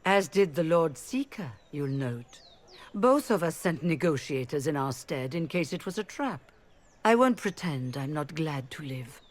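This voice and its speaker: A woman's voice: low voice, expressive, measured pace. A woman playing a proud, diplomatic elven leader of rebel mages in a video game, speaking formally. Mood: bitter, defensive.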